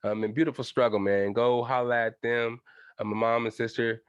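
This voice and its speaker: A man's voice: mid-range voice, little pitch movement, moderate pace, clear, resonant tone, no room sound, lo-fi sound. A young man with a stuffy nose hosting his own podcast alone, chatting loosely in slang and swearing, talking straight to his listeners. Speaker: podcast host. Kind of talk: casual solo podcast chat.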